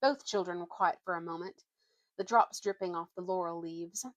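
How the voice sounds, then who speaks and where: A woman's voice: on the low side, moderate pace, dry recording. A woman reading a storybook aloud informally, often stumbling and correcting herself as she narrates. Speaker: reader.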